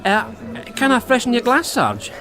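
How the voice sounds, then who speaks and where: An actor playing an eager young police constable in a radio drama, hesitant and deferential. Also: male voice, very high-pitched, huge pitch swings, unhurried, full character voice. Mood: shy, hopeful.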